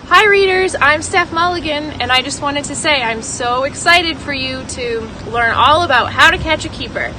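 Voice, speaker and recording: Woman author saying hi to kid readers, really high voice, noisy outdoor sound behind her. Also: moderate pace, hardly any pauses. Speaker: children's book author. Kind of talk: recorded personal greeting to young readers.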